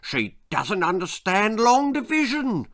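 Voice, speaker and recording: Vain, blustering middle-aged father for a children's tale, played by a male reader: self-important, highly animated delivery. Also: slow, heavily acted. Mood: exasperated ranting. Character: pompous, vain, foolish forty-year-old father.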